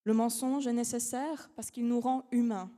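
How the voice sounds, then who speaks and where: A young woman, a law student whose first language is not French, giving a formal competition speech with measured, dramatic pauses. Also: a little high, fairly even intonation, warm tone. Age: young adult. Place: large academic hall. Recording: long hall reverb.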